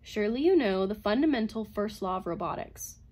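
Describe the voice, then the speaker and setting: A woman's voice: a little high, moderate pace, lightly acted. A woman voicing a sardonic, bitter female robot psychologist with a cutting, imperious manner, in a story reading. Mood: sarcastic.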